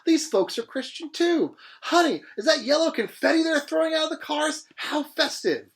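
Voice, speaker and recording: A gushing male tourist in a storybook reading, played by a man. The voice is pushed very high, chirpy and exclaiming. He sounds cheerfully oblivious. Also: animated, normal speed. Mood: gushing, delighted.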